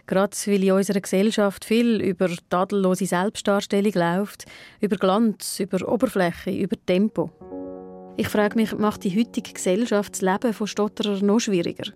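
Calm woman reporter. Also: medium pitch, normal speed. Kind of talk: scripted narration and interviewing.

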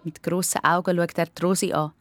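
A woman's voice: fairly low pitch, level tone, fairly quick, a bit bright, no room sound. Swiss woman narrating a children's story.

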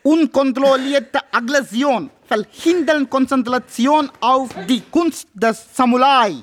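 A performer playing a solemn, strict martial arts master in a German radio crime drama, measured and commanding. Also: sits high. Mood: stern, solemn.

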